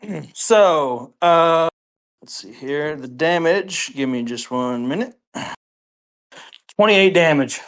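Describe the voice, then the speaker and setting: Tabletop player, slow casual talker, bit hesitant, matter of fact, medium pitch. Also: expressive. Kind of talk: casual game-table chat.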